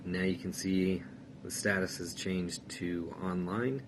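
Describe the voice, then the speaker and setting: Very low-voiced man, noisy recording. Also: fairly even intonation, slow pace. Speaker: product reviewer giving a setup tutorial. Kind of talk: casual step-by-step tutorial narration. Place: indoors in a room. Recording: clear background noise, room ambience.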